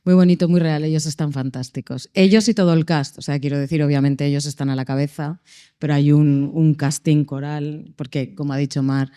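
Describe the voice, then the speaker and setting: A woman's voice: low-pitched, fairly even intonation, a little fast, mellow, smooth. A woman from casting and production, speaking on a panel in an enthusiastic way. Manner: enthusiastic, repeating praise. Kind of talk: panel discussion.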